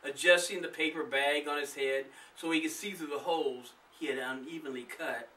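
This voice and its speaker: Bright-voiced man narrating a story. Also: a little high, fairly even intonation, moderate pace, far from the mic, slight background noise, low-quality recording. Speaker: author and narrator.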